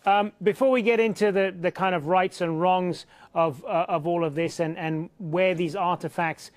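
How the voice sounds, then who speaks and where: A male news presenter steers the panel with a notably high voice. He asks long, looping questions full of restarts. The sound is dry and close. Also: fairly even intonation, normal speed, no echo. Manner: conversational, restarts and fills while posing questions. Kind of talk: hosting a televised panel discussion.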